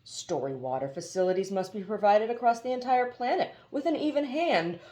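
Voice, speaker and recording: A woman voicing a brisk, talkative mechanical hoopoe bird in a storybook, lecturing emphatically with some stuttering. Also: mid-range voice, animated, warm tone, lightly acted. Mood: proud, emphatic. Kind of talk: storybook character voice.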